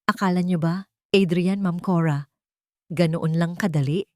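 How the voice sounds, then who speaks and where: A calm, wronged bride set on revenge in a melodrama story, performed by a woman narrator. She speaks deliberately, with cool defiance. The sound is dry and close. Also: fairly low pitch, no echo, lightly acted. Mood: cold defiance.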